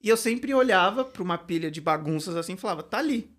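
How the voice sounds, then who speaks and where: A man speaks with a slightly raised pitch, spinning a personal anecdote in a relaxed podcast conversation. He tells it expressively and breaks into laughter as he goes. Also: fast. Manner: storytelling, animated, laughs often. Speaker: Portuguese and creative writing teacher, guest.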